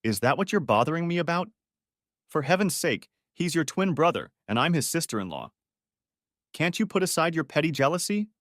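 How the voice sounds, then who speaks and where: Male narrator doing a scornful wife, raised pitch, curt. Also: sing-song, a little fast, fairly bright tone, no echo, studio quality. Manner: impatient, dismissive. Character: sharp scornful wife, adult woman.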